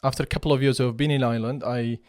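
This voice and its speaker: A man's voice: mid-range voice, fairly even intonation, racing, darkish tone, no room sound, clean studio sound. A man in his forties from Iraqi Kurdistan with a Kurdish accent, a podcast guest who speaks thoughtfully and hesitates often. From Kurdistan region of Iraq. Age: 40s. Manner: thoughtful, with many fillers and restarts. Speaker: podcast guest, chief executive of a cultural centre. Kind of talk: conversational interview.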